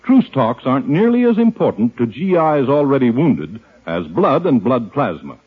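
A man's voice: mid-range voice, sing-song, moderate pace, slightly rough. A man delivering an earnest, persuasive scripted appeal as a 1950s radio announcer. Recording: tinny, narrow-band 1950s radio recording.

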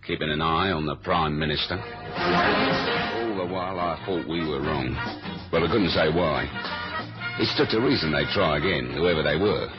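An actor playing a laconic, wry British secret agent with a London accent in a 1970s radio spy drama. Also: male voice, a bass voice, normal speed, over loud music. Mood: terse, serious. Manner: deadpan, informal. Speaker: actor and first-person narrator. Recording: dull old radio recording.

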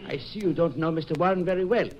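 An actor playing a fussy, precise, superior restaurant employee in 1950s radio drama style. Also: male voice, a little high, fast talker, a crowd in the background, natural voice. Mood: prim, superior. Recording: muffled old radio broadcast.

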